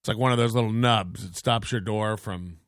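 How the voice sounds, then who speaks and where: A man's voice: fairly low pitch, moderate pace, fairly bright tone, dry recording, clean studio sound. A man hosting a podcast in casual, rambling chat, crude and joking, with lots of restarts. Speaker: podcast host.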